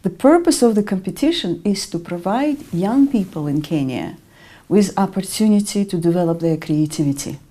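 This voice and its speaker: A middle-aged woman from Russia with a Russian accent, answering interview questions in a measured way with frequent ums. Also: mid-range voice, lively intonation, mellow. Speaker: interview guest, artist and educator.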